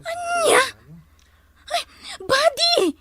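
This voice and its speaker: A woman's voice: extremely high pitch, animated, drawn-out words, noisy background, exaggerated voice. A woman acting an indignant mistress in an Ilocano comedy drama. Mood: indignant wailing.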